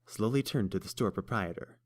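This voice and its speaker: A man reading fan fiction aloud in a measured storytelling manner. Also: on the low side. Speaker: audiobook reader. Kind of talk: fan audiobook narration.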